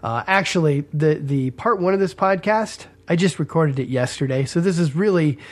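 Male podcast host with a head cold, a touch high-voiced, congested and chatty. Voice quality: congested, scratchy throat. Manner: casual and chatty, coughs and pauses. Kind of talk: teaching podcast monologue.

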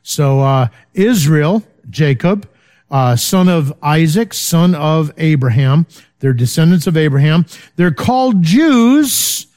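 A man's voice: a little high, expressive, slow pace, darkish tone. A man teaching a Bible study in a loose, conversational way, full of fillers and checking in with his listeners. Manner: informal, many fillers, checks in with "okay?".

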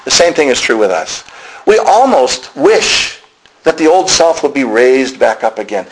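A man's voice: mid-range voice, fairly bright tone, low-quality recording. A man preaching a sermon, explaining to listeners directly and repeating key phrases for emphasis.

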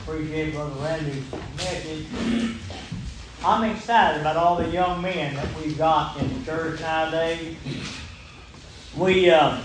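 A man's voice: fairly high pitch, measured pace, pauses often, noisy background. A man, preaching a folksy sermon and calling out for amens. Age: 70s. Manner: folksy, emphatic, calls for amens. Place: church sanctuary. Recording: very echoey church room.

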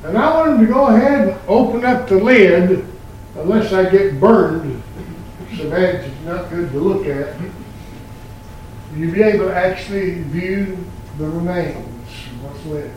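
An old man in his eighties preaching a sermon in a church, quoting scripture in slow, emphatic phrases. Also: sits high, darkish tone, highs cut off, slight background noise. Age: 80s. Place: church sanctuary. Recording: very echoey church from far off.

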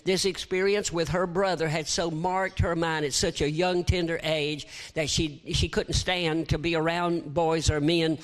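An older man with a shrill tenor voice preaching a sermon in a church, reading scripture and adding folksy asides. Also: flat delivery, a little slow, hardly any pauses. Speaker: guest preacher.

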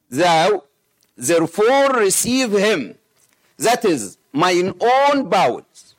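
A middle-aged man from Egypt, Arabic-speaking, with an Egyptian accent, preaching and praying in halting English with many pauses and fillers. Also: on the high side. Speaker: missionary preacher. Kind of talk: sermon with scripture reading and prayer.

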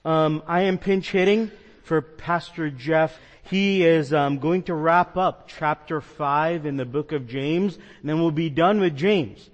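A man's voice: a little high, measured pace, long reverb tail, lo-fi sound. A man from the New York metro area preaching a sermon as a pastor, earnest and conversational with some fillers. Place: church sanctuary.

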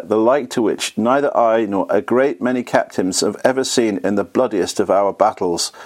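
Guy reading a kind old general, gentle, encouraging, plain middle voice. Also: medium pitch, lightly acted. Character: kindly old battle-worn male general.

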